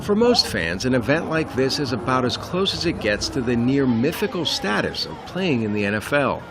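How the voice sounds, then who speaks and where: A man delivering a scripted news report, measured and expressive. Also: medium pitch, crowd noise.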